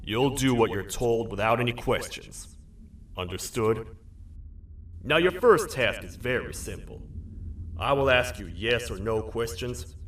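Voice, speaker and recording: An actor playing a massive, gruff toad sheriff who talks like a stern frontier lawman. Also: lowish voice, a bit bright, slight background noise. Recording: echoey game audio from a distance, light music.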